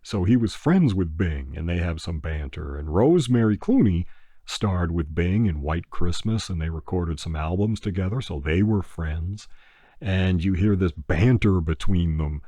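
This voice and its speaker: An older man hosting a podcast, talking in a rambling, unhurried way with lots of "uh" fillers. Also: low-pitched, expressive, warm tone, no room sound, studio quality. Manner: unhurried, many "uh" fillers, breaks into crooning an old song. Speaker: podcast host. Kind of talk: rambling casual chat.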